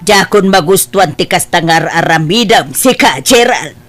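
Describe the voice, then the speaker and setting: A woman's voice: lowish voice, animated, normal speed, soft background music, natural voice. A woman playing a disapproving mother who scolds and questions a grown son in an Ilocano family audio drama. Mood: scolding. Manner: reproachful, questioning. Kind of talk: Ilocano radio-style family drama acting.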